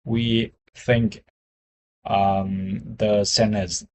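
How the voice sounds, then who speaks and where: Vietnamese-accented male learner, low voice, very slow and halting, restarts a lot. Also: flat delivery, rough recording. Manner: hesitant, searching for words, restarts often. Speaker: English learner. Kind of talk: conversation practice.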